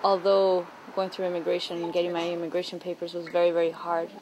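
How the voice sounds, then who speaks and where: A woman from Chile, a theatre artist, talking in an earnest, thoughtful interview with many pauses. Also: on the low side, completely flat delivery, darkish tone, a little hiss or hum, low-quality recording. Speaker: theatre artist interviewed about her play. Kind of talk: reflective personal interview.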